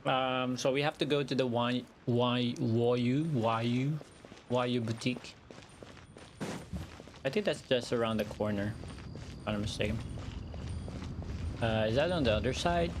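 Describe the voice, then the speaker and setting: A man's voice: mid-range voice, a little slow, long pauses, noisy background. A man streaming a game with casual playthrough commentary, muttering to himself and repeating words. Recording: game music clearly behind the voice. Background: video game music.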